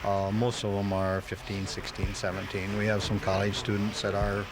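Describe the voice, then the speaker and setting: A man with a lowish voice answers interview questions as a club member. He explains haltingly, with many hesitations, over clear background noise on a home-taped live radio show. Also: monotone.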